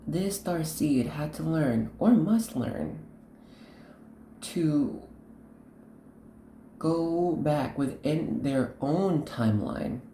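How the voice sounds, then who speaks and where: A woman hosting an unscripted tarot reading, speaking to the viewer in an earnest, instructive way, in short halting phrases. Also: a bass voice, dark tone, echoey room, a little hiss or hum. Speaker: host, tarot card reader.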